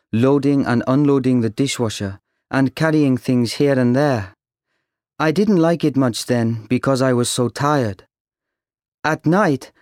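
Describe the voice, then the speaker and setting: An actor reading a scripted listening exercise as a young man working in a cafe, in a clear, steady reading. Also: mid-range voice, dry recording, clean studio sound, natural voice. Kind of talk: scripted textbook listening monologue.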